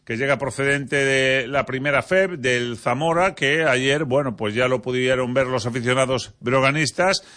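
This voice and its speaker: A man with an accent from Spain presenting a sports news bulletin on the radio, with a halting, hesitant delivery. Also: medium pitch, sharp tone. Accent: Spanish, from Spain.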